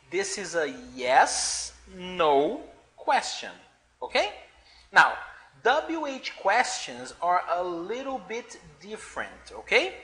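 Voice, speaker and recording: A man teaching beginners English, talking slowly and deliberately. His pitch swings up and down a lot as he stresses each point. He keeps checking in with the class through a frequent "okay?". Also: pushed and bright.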